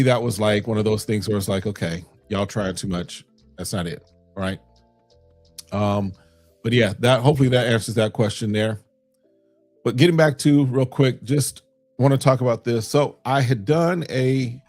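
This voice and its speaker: A man's voice: on the low side, darkish tone. A man from Chicago's South Side hosting a casual fragrance livestream, answering chat questions in a loose, conversational way with plenty of fillers.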